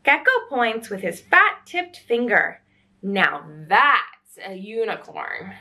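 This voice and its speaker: Animated young woman reading to children. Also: fairly high pitch, takes it slowly. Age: young adult. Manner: expressive, questioning, wondering. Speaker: children's library storyteller. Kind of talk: animated picture-book read-aloud for children. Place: small indoor room.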